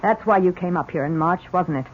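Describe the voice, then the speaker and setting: An actress playing a bitter, jealous wife in a 1970s radio drama, sarcastic and accusatory. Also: female voice, fairly low pitch, a little fast, close to an everyday voice. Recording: muffled, hissy old radio broadcast.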